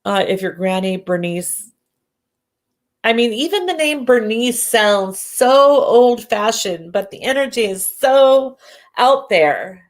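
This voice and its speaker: A woman doing live psychic readings who sounds congested and talks in halting bursts, often restarting and correcting herself. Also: on the high side, animated. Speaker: psychic medium. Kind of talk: live-stream readings, casual chat.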